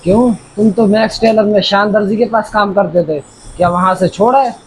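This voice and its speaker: Master tailor and employer, male actor, high-pitched, wary, blunt, slight background noise. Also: fairly even intonation, fairly quick, close to an everyday voice, low-quality recording. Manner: questioning, blunt. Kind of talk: moral story drama acting. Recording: faint background ambient noise.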